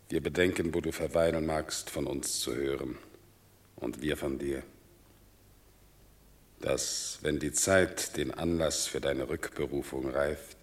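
Grave older friend, bottomless low pitch, slow deliberate classical verse, loyal and subdued, for radio drama. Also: male voice, creaky, exaggerated voice.

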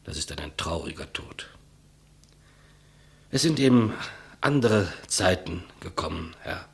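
An actor playing a humble, despised executioner in a 1950s German radio play, deferential, plain-spoken and reflective. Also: male voice, sits low, expressive, a little slow, gravelly, breathy edge, exaggerated voice. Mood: quiet, resigned. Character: humble, despised old-school male executioner, quietly matter-of-fact. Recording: muffled vintage 1959 radio recording.

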